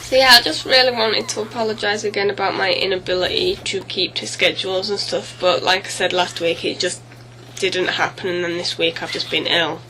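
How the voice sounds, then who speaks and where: A woman's voice: mid-range voice, fairly even intonation, brisk, few pauses, sharp tone, slight background noise, rough recording. A woman getting over a cold gives casual, rambling, apologetic let's play commentary, often correcting herself as she goes. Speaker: gaming video host. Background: music.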